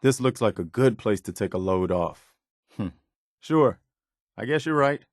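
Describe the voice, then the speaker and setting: Earnest militia soldier in a game, lowish voice, plainspoken n loyal, close dry sound. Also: male voice, expressive, a little slow, dry recording. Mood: easygoing. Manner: sincere, plainspoken, conversational. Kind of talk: video game companion dialogue.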